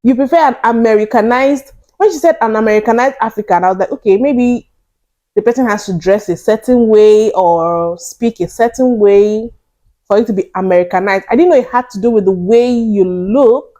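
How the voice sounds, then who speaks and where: A young woman vlogger giving casual, animated commentary straight to her viewers, often incredulous. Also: fairly high pitch, moderate pace. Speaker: reaction vlogger.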